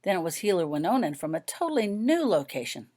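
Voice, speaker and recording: A woman's voice: fairly low pitch, very animated, fast talker, a little mellow. A woman reading her own story aloud as scripted audiobook narration.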